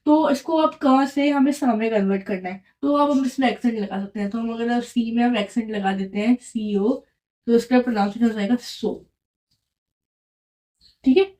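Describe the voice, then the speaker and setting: A woman teaches in a patient, repetitive way, pausing often to check that the listener follows. Her voice sits a little high, yet its tone is mellow and unforced. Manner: patient, repeats and checks in with "right?". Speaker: French teacher. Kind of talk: explaining a lesson at a whiteboard.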